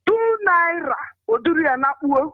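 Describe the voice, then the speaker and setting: A man's voice: very high-pitched, expressive, normal speed, dry recording. A male caller, making an emphatic, impassioned comment on a call-in show. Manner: emphatic, repeats words. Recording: tinny phone line.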